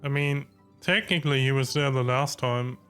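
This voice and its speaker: A man's voice: medium pitch, normal speed, a bit bright. A man giving casual live reaction commentary on a game, hesitant and wry, as if muttering along. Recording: faint game music behind the voice.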